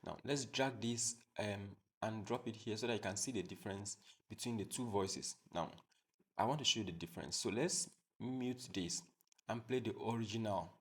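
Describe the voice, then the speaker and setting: Low, gravelly male tutorial host. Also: normal speed. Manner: step-by-step, repetitive explaining. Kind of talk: screen-recorded software tutorial.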